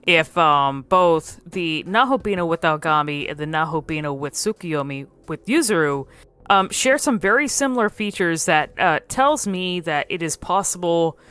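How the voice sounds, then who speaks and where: A woman giving casual, musing commentary on a game, often pausing and repeating words as she thinks. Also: fairly low pitch, animated, a bit bright, clean tone. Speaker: video creator commentating on a game.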